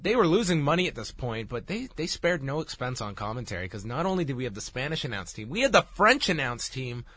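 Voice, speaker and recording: A man in his thirties co-hosting a casual wrestling review, talking briskly and listing facts, getting over a lost voice. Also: medium pitch, big pitch swings, fairly bright tone, husky, no room sound. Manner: brisk, rambling, lists facts. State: recovering from losing his voice. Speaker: podcast co-host reviewing wrestling.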